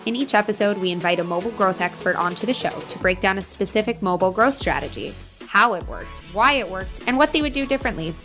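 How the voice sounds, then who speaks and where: A woman's voice: mid-range voice, lively intonation, quick pace, a bit bright. A woman reading a scripted podcast intro in an upbeat sing-song delivery. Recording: faint music behind the voice.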